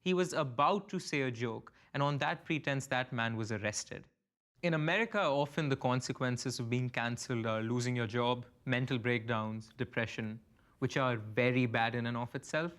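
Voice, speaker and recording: A young man from India, making pointed, measured arguments in a documentary interview. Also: medium pitch, fairly bright tone. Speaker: student interviewee.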